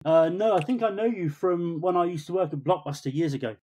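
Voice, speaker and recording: A man's voice: high-pitched, brisk, over a noisy crowd. A man in his forties from England, a podcast guest, telling stories in a laid-back, casual way with easy laughs.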